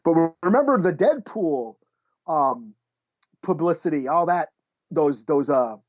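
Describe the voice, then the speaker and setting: Higher-voiced man chatting, dull lo-fi audio. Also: sing-song, a little slow, a little mellow. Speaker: podcast co-host. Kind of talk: casual chat.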